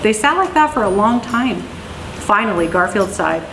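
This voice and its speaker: A woman reading children's stories aloud in an expressive storytelling way. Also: medium pitch, moderate pace. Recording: roomy echo, faint background noise.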